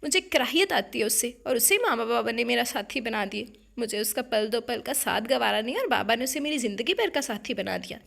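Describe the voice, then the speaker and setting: A woman's voice: a little high, lively intonation, gravelly, hardly any pauses, fairly bright tone, heavily acted. A woman voicing a distraught young bride, defiant and bitter, speaking with raised emotion in an audiobook. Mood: furious.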